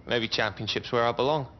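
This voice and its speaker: An actor playing a cocky, chatty young English footballer with slangy banter in a video game cutscene. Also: male voice, medium pitch, lo-fi sound, a little hiss or hum, close to an everyday voice. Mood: downcast. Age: young adult. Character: cocky, chatty young male footballer, cheeky best mate.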